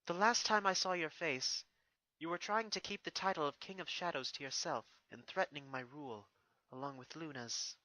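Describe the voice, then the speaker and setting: A man's voice: fairly high pitch, moderate pace, bright, forceful tone, no echo, voice pushed up, low-quality recording. A man voicing a regal, stern female pony princess who speaks in formal, solemn speeches with a wounded resolve. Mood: stern, accusing.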